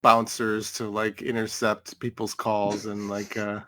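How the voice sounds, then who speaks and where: A man's voice: on the low side, completely flat delivery, measured pace, fairly bright tone. A man chatting informally as a podcast regular, thinking aloud and telling anecdotes. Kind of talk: casual chat, anecdotes.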